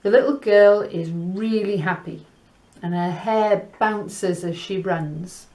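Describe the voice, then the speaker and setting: A woman reading to children and teaching in a deliberate, teacherly way, mixing Spanish words into English. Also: medium pitch, mellow, low-quality recording. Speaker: storyteller and language teacher host. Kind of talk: children's story reading and lesson chat.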